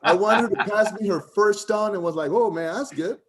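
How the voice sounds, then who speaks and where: Costa rican guy w spanish accent, kinda high voice, stumbles and restarts a lot, talking over a video call. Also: noisy background. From Costa Rica. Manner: halting, frequent restarts, keeps saying "man". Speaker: first-time open mic comedian. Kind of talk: rambling stand-up set on a video call.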